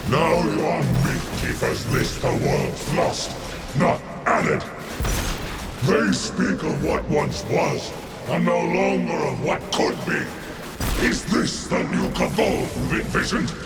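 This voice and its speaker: Slow, gravelly alien warlord ghost. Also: male voice, fairly high pitch, big pitch swings, exaggerated voice. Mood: contemptuous challenge. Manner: slow, menacing, grandiose taunting. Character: domineering alien warlord ghost, huge, contemptuous. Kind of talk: scripted video game dialogue. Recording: echoing voice under loud music.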